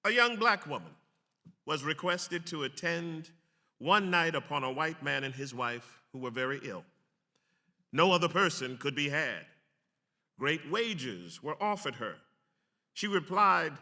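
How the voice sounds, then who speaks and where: Piercingly bright, forceful male reader in a hall. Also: on the high side, animated, unhurried, long pauses. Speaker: actor reading aloud. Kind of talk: dramatic reading of a historic pamphlet. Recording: long hall reverb.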